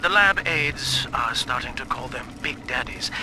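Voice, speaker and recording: A man's voice: mid-range voice, expressive, measured pace, background noise, lightly acted. An actor playing a coolly ruthless male scientist, musing precisely into an audio diary. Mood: clinical, musing. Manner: precise, reflective.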